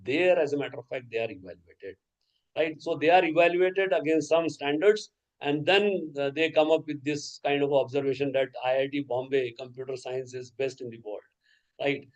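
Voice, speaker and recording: A man's voice: fairly high pitch, normal speed, poor audio. A man whose first language is Urdu, lecturing teachers over a video call and often checking in with "okay" and "right?". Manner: explanatory, frequent "okay" and "right?" check-ins. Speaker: workshop resource person.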